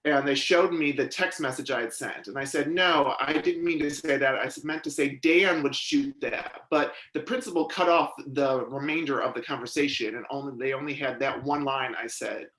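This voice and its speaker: A man giving steady, measured first-person voice interpretation of a deaf witness's testimony at a legislative hearing. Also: medium pitch, roomy sound, rough recording. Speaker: sign language interpreter voicing a deaf witness. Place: hearing room.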